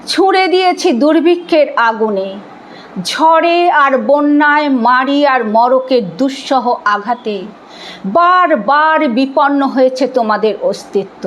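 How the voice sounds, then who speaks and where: A woman reciting poetry in an expressive, dramatic style, with measured, deliberate phrasing and pauses. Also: high voice, a little hiss or hum, poor audio.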